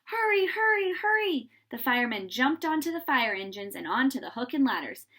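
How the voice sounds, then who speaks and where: A woman reading a children's story aloud in an animated, dramatizing way, with pauses for suspense. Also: on the high side, breathy edge.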